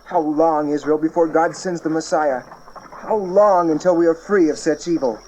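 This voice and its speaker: A man's voice: on the high side, moderate pace, mellow, lo-fi sound, slight background noise, natural voice. An actor playing an outraged, reckless townsman in an audio Bible drama, sarcastic and impassioned.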